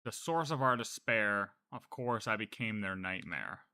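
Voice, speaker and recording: A man giving casual live game commentary, thinking aloud in an animated, cocky way. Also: fairly low pitch, a little slow, clear, resonant tone, no echo, clean studio sound. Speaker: game streamer.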